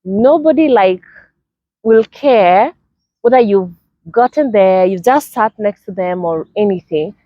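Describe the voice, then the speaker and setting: Kenyan woman vlogging, chatty and animated, dry slightly dull sound. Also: mid-range voice, measured pace, no room sound. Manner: animated, acts out greetings in a lively sing-song way. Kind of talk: casual personal storytelling to camera.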